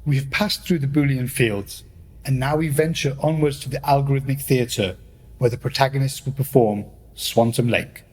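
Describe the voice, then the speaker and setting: A man's voice: medium pitch, fairly even intonation, normal speed, slightly rough, natural voice. A man playing a formal, showmanlike narrator in a scripted radio play. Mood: grand. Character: theatrical male narrator addressing his audience.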